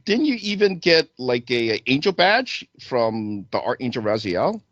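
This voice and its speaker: Male talk show host, lively intonation, casual and eager questioner, low-fidelity sound. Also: normal speed, dry recording, highs cut off. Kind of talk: casual conversational interviewing.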